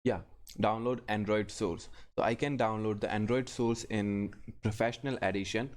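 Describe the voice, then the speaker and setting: A young man who is not a native English speaker walks through a software tutorial recorded at his computer. His voice sits low, and he talks in a halting, easygoing way, restarting sentences and chuckling at himself. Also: steady tone. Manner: halting, self-deprecating, frequent restarts and chuckles. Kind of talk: casual screen-recorded tutorial.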